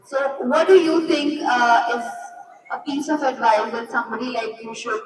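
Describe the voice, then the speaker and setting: A woman's voice: fairly high pitch, steady tone, normal speed. A woman interviewing authors politely and courteously. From India. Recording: roomy, echoey hall, from far off.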